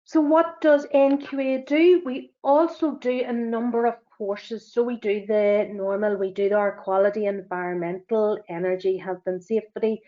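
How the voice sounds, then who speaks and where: A middle-aged woman with a Northern Irish accent presenting a business webinar, talking quickly with frequent fillers. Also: mid-range voice, fairly even intonation, mellow. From Northern Ireland. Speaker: sustainability assessor and webinar presenter. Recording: dull, lo-fi webinar audio.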